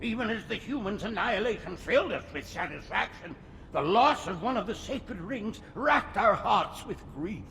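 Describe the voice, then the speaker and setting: A frail, grandiose alien prophet sermonises in a video game cinematic. The high, crackling old voice rises and falls dramatically in pious, florid phrases, with music behind. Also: male voice, expressive, normal speed, gravelly, sharp tone, exaggerated voice. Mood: pious fervour.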